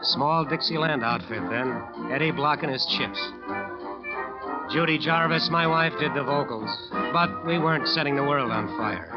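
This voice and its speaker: An actor playing a despairing American bandleader in a 1940s radio drama, with weary, slangy talk. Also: male voice, on the high side, moderate pace, close to an everyday voice. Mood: brooding narration. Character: haunted, despairing jazz bandleader confessing his crime. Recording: dull old radio recording with music.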